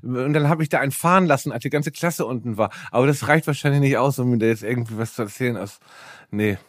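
A man's voice: medium pitch, fast, no echo, studio quality. A middle-aged man from Germany chatting casually as a podcast co-host, rambling along informally in slangy words. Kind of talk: casual chat.

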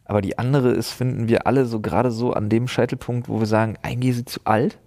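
A man's voice: mid-range voice, steady tone, fast, dark tone. A man chatting casually, colloquial and opinionated. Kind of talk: casual chat.

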